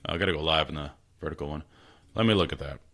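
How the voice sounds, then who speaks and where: A man's voice: fast talker, pushed and bright. A man streaming games from a small spare room at home, chatting casually and self-deprecatingly with viewers. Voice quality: low voice. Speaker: gaming streamer. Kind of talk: casual live-stream chat. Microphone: desk mic. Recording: slightly tinny home stream mic, faint noise.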